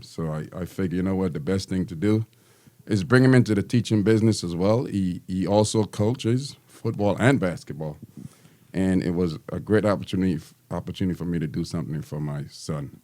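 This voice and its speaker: A man in his fifties from Guyana, a teacher and basketball coach, answering interview questions in a friendly, halting way with many ums. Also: low voice, dark tone.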